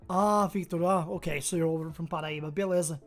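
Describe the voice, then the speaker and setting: A Brazilian-born woman whose first language is Portuguese, speaking with a mostly American accent that carries a slight Brazilian touch. She streams a game and chats with viewers in a relaxed, rambling way, thinking aloud. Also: on the low side, brisk, faint music behind. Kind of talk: casual chat with viewers while playing a game.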